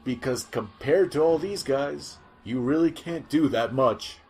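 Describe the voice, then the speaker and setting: A man's voice: mid-range voice, measured pace, darkish tone, faint music behind, lightly acted. A man playing a clueless, pushy field reporter in a comic sci-fi audio drama. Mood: prodding. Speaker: news reporter interviewer.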